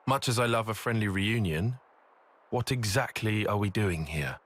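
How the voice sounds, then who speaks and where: An actor playing a cautious, analytical young tactician in a video game, speaking in a formal, logical, wry way. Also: male voice, fairly low pitch, moderate pace, a bit bright. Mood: dry, irritated. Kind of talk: video game cutscene voice acting. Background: music.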